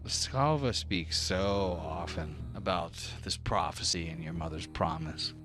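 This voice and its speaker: A man's voice: deep voice, measured pace, over music. A man narrating a tabletop fantasy game as game master, with dramatic, vivid scene-setting.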